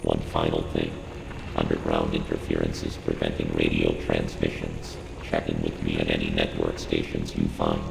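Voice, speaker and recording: A man voicing a calm artificial intelligence advisor in a video game, with formal, measured, clinical briefings and a synthetic robotic effect. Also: a bass voice, creaky, full character voice. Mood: instructive, cautionary. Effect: synthetic robotic computer voice processing. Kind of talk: scripted game briefing narration. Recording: echoing long reverb, loud ambient noise.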